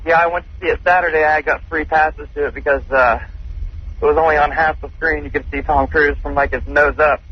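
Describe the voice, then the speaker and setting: A man's voice: fairly high pitch, steady tone, a little fast, slightly rough. A man calling in to a radio show and chatting casually. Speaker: radio caller. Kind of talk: casual call-in chat. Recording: dull lo-fi call-in line.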